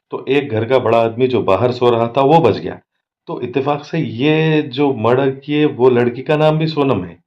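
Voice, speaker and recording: Man, Indian accent, lower-pitched, crime commentary, English words mixed in, muffled lo-fi recording. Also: a little mellow. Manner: mixes in many English words. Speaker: advocate and social activist, channel host. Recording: dull lo-fi microphone.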